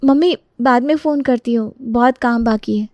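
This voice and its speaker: A woman's voice: on the high side, normal speed, a little mellow, no echo, lightly acted. A woman voicing a meek, overworked young daughter-in-law who pleads and complains in a dramatised story. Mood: dutiful.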